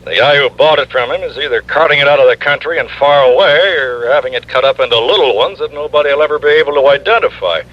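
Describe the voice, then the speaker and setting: An actor with an American accent playing an exasperated, grumbling New York police lieutenant in an old-time radio drama. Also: male voice, fairly high pitch, fast, few pauses, lightly acted. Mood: frustrated, emphatic. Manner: blustery, grumbling, emphatic. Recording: dull lo-fi vintage radio recording.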